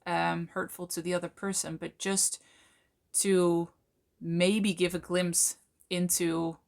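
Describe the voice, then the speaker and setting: A Dutch woman, a native Dutch speaker talking in English, explaining things to camera in a thoughtful way, with many fillers and slow, stretched words for emphasis. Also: lowish voice, little pitch movement, creaky. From Netherlands. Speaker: channel host, coach. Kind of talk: casual explanatory talk to camera.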